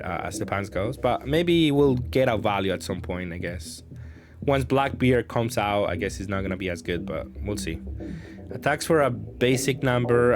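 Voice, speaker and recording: A man with a fairly low voice commentates his own card games in a casual, unscripted way. He reasons aloud as he goes and is self-deprecating about his choices. Music plays clearly behind him. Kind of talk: casual off-the-cuff gameplay commentary.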